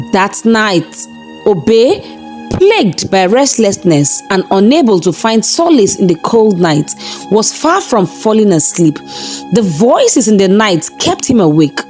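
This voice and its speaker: A woman storyteller reading a scripted folktale with measured, suspenseful phrasing and formal, dramatic delivery. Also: mid-range voice, a little mellow.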